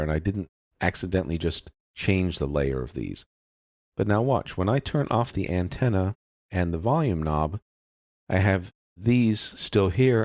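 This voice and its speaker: A man narrating an informal, step-by-step software tutorial, explaining things methodically and without a script. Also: low voice, no echo, studio quality.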